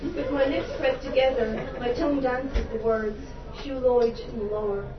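A higher-voiced Irish woman performs spoken word poetry with theatrical passion and dry humorous asides. She sounds distant from the microphone in a roomy venue. The recording is dull and carries steady background noise. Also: normal speed. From Ireland. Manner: impassioned, theatrical delivery with wry humorous asides. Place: live venue before an audience.

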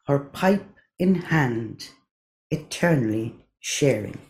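A woman with an Irish accent, giving a poetry reading with chatty asides between the poems, reciting in a measured, unhurried way. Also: a bass voice, lively intonation, heavy background noise. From Ireland. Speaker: poet.

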